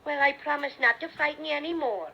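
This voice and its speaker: A cheerful little teddy bear with a gravelly Irish lilt. The voice sits high and rough, and it comes through a dull, lo-fi old radio recording. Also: male voice, quick pace, bright, forceful tone, slight background noise, heavily acted. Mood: friendly. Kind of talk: 1930s radio play acting.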